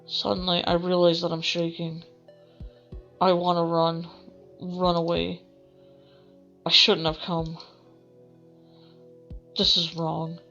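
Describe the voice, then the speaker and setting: Low, even-toned woman reading aloud. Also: takes it slowly, pauses often, lo-fi sound. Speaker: gamer doing a let's play. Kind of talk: reading visual novel narration aloud with casual asides. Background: game music.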